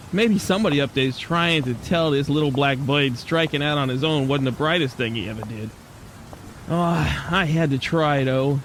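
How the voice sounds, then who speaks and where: An actor playing a wisecracking, streetwise penguin private eye who narrates in hard-boiled noir radio drama style. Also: male voice, a little high, a little hiss or hum, natural voice. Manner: streetwise slangy wisecracking delivery. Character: wisecracking penguin private detective, adult male, lovestruck and world-weary.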